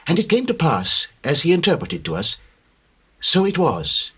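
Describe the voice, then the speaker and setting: Husky, animated humble royal butler. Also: male voice, fairly high pitch, moderate pace, full character voice. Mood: contrite, recounting. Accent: British. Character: contrite royal servant, a humble chief butler. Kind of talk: dramatized scripture acting in archaic English.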